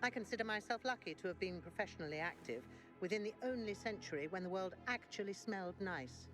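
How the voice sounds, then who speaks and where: A woman acting as an eccentric middle-aged perfumer in a game. Her pitch swings freely as she savours each word with dreamy, theatrical grandeur, music playing clearly behind her. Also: lively intonation, quick pace, natural voice. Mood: enthusiastic. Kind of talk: video game cutscene acting.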